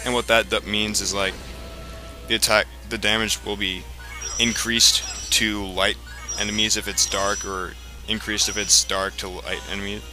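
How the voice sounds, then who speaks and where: A man giving casual, explanatory gameplay commentary in a halting way, as the host of a let's play. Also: on the low side, level tone. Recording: game music behind the voice. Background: video game music.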